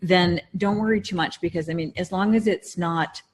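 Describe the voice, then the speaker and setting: Middle-aged female podcast co-host, notably low pitch, earnest and explanatory, conversational delivery. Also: fairly even intonation, moderate pace, breathy edge. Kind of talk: casual conversational podcast talk.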